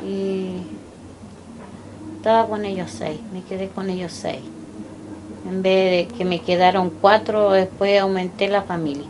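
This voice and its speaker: An older Chilean woman recalling her life slowly and haltingly, pausing often to remember. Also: mid-range voice, fairly even intonation, noisy background. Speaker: interviewee. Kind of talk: personal recollection. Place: indoors, small room by a window.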